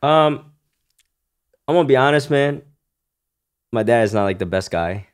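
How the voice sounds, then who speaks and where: A man's voice: medium pitch, expressive, unhurried, smooth. A man in his thirties who grew up in Hong Kong, a podcast guest telling his life story in loose, casual talk full of "you know" and "right?". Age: 30s.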